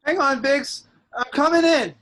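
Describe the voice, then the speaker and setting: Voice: high voice, unhurried, fairly bright tone. An actor playing an eager, daring young male rebel fighter pilot in a sci-fi script table read. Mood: eager, urgent.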